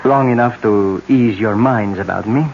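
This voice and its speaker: A man's voice: fairly low pitch, moderate pace, creaky. A man acting as an easygoing young man recovering from a wound, casual and reassuring, in a 1970s radio mystery. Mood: weary, reassuring. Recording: dull, faintly hissy 1970s radio broadcast.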